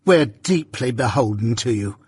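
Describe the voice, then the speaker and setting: A man voicing a sharp-tongued, grasping married woman with a curt, exclaiming manner in a storybook. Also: medium pitch, animated, normal speed, husky, no room sound, studio quality. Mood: grateful.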